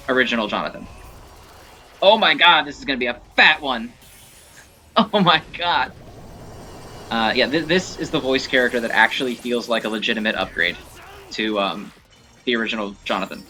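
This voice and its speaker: A man giving casual, rambling commentary on gameplay in an informal, animated way. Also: medium pitch, normal speed, pauses often, a little hiss or hum. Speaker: gameplay showcase host.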